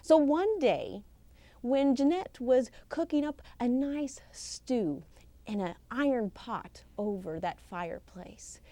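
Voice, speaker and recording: A woman telling a folktale live as a professional storyteller, expressive and theatrical, with deliberate pauses and emphasis. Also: fairly high pitch, no echo. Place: live storytelling session at a conference.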